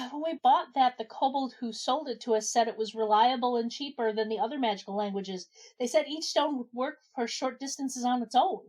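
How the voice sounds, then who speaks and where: A woman with a very high voice performs in a comic audio skit. She sounds exasperated and animated, like someone at the end of her patience. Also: brisk, hardly any pauses. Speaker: podcast regular playing herself in a comedy skit. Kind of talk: comedic audio drama acting.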